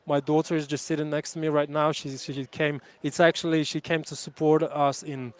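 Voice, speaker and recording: A man in his thirties with a smooth, clear voice sitting a little high for a man. He answers in an earnest, reflective way, pausing and restarting as he gathers his thoughts. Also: little pitch movement. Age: 30s. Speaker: jiu-jitsu coach. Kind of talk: candid interview answers.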